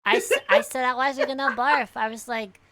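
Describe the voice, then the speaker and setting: A woman's voice: sits high, fairly even intonation, moderate pace, bright, forceful tone, no echo. A woman playing a tabletop role-playing game, describing her character's actions in casual table talk.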